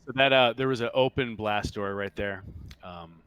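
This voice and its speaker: A man's voice: on the low side, moderate pace, fairly bright tone, smooth, muffled recording. A man narrating casually as game master of a tabletop roleplaying game.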